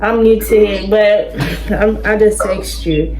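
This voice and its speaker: A woman's voice: medium pitch, takes it slowly, a loud crowd. A young woman from Jamaica, chatting bluntly into her phone for her vlog and swearing freely. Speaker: vlogger. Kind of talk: casual vlog and video-chat banter.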